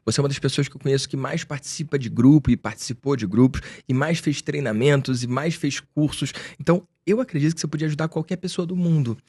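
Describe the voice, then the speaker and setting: Husky-voiced Brazilian podcast host. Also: male voice, mid-range voice, quick pace, few pauses, a little mellow, no room sound. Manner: enthusiastic, slangy, friendly. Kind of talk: casual podcast interview.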